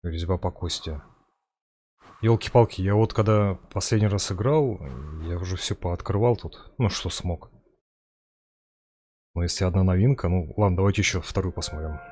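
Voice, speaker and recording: Dude doing a let's play, real deep husky voice, just chatting offhand as he plays. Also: moderate pace, a little mellow. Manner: chatty, offhand remarks while playing. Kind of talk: casual gameplay commentary.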